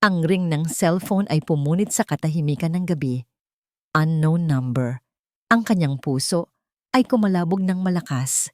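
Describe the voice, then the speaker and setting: A woman's voice: low-pitched, moderate pace, darkish tone, no echo, studio quality. A woman with a Filipino accent reading a scripted drama as an audiobook narrator, in a dramatic storytelling style.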